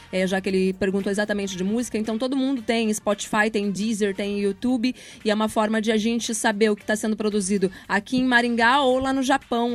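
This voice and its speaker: A woman, speaking articulately and informally as a guest in a conversational interview. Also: medium pitch. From Paraná, Brazil. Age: 30s. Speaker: interview guest, radio announcer and journalist.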